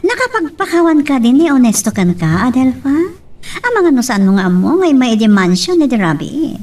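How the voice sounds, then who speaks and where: A woman's voice: high voice, sing-song, moderate pace, darkish tone. A woman with an Ilocano accent playing a taunting, possessive young love rival in an amateur audio drama. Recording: noisy, distant mic.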